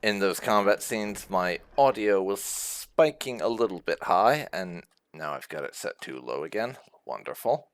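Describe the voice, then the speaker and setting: Low-voiced man with lively, swinging intonation. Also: measured pace, few pauses. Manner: rambling, informal, with occasional swearing. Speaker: let's play host. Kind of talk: casual gameplay commentary.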